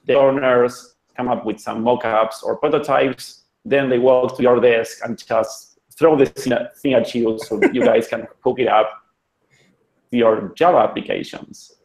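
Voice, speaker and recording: A man's voice: mid-range voice, fairly even intonation, a little slow, darkish tone, roomy sound, poor audio. A man with an Argentinian accent, a web designer giving a friendly, joking presentation over a video call. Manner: friendly, joking, conversational with restarts. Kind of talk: online conference presentation. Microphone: computer mic on a video call.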